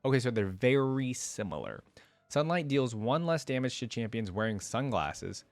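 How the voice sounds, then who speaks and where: A man's voice: mid-range voice, big pitch swings, moderate pace, dry recording, soft background music. A man hosting a reaction video, chatting informally and enthusiastically as a fan and reading text aloud. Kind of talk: casual reaction commentary mixed with reading wiki text aloud.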